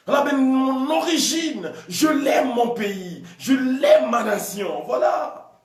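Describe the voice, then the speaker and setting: A man from Togo, preaching a charismatic sermon as a priest and often shouting with drawn-out emphatic phrases. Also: very high-pitched. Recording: echoey room, voice from a distance.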